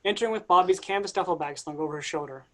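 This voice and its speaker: A woman's voice: lowish voice, rapid-fire. A woman reading stage directions as scripted narration for a staged play reading.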